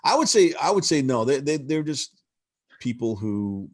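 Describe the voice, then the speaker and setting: A man's voice: mid-range voice, sing-song, moderate pace. A man on a casual online fan panel, blunt and opinionated.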